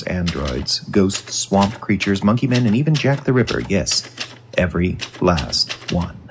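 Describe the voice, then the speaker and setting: A man's voice: low-pitched, background noise. A man playing a retired investigative reporter, narrating supernatural cases earnestly and wryly in a noir-like audio drama.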